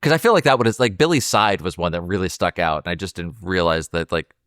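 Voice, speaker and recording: Low-voiced man chatting on a podcast. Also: fast talker, a bit bright, dry recording, clean studio sound. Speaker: podcast co-host. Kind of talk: casual film discussion.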